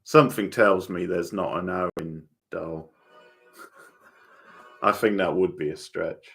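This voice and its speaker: Male livestream host, very deep gravelly voice, relaxed watchalong chat addressed to viewers. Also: slow pace. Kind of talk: casual watchalong commentary, chatting with viewers.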